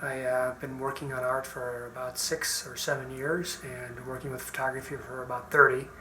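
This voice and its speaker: A middle-aged man, an artist talking informally about his own work in a halting, reflective way, often repeating and rephrasing his words. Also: medium pitch, little pitch movement, sharp tone, slight background noise. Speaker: visual artist. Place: indoor art studio. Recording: roomy echo, music underneath. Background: background music.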